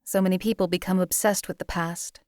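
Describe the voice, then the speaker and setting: A woman voicing a cold, emotionless wasteland assassin for a video game, with a detached, deadpan, formal and articulate delivery. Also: lowish voice, brisk, no room sound, clean studio sound, lightly acted. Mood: dismissive, reflective. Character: cold, emotionless female assassin for hire in a post-apocalyptic wasteland. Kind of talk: scripted video game character dialogue.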